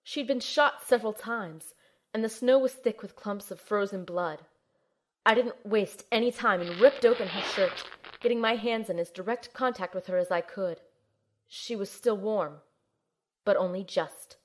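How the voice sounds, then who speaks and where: A woman playing a determined young heroine who narrates her own adventure in an audio drama, in a measured, earnest way. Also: medium pitch, natural voice, poor audio. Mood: tense, urgent. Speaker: narrator and lead character. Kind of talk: scripted first-person audio drama narration.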